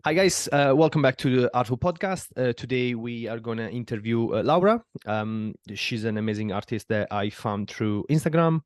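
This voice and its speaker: A man's voice: medium pitch, expressive, normal speed, few pauses, dry recording, studio quality. A man from southern Italy, hosting a casual podcast interview and talking with many fillers and restarts. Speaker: podcast host.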